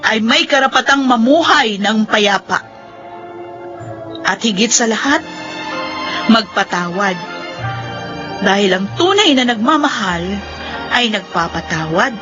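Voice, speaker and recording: An actress playing an earnest, wounded but forgiving young woman, with emotive delivery in a Filipino radio drama. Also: a little high. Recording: dull radio broadcast over music.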